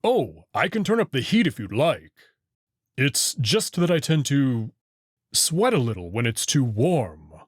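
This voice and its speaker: A man's voice: mid-range voice, big pitch swings, unhurried, breathy edge, no room sound, studio quality. A man voicing a friendly young male wolf with a polite, slightly formal, eager manner in a visual novel. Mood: apologetic. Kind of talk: visual novel character reading.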